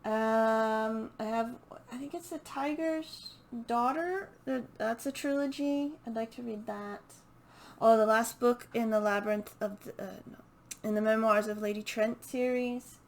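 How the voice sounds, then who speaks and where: A woman chatting casually and unscripted as a book vlogger, hesitant and halting as she thinks aloud. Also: on the high side, steady tone. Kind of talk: casual unscripted chat to camera.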